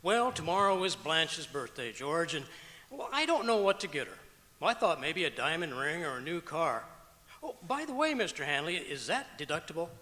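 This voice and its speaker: A man's voice: on the high side, sing-song, normal speed, sharp tone. A man playing a fussy, indecisive, earnest neighbor husband in old-time radio comedy style. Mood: flustered, indecisive. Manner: dithering, earnest. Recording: echoey auditorium stage performance.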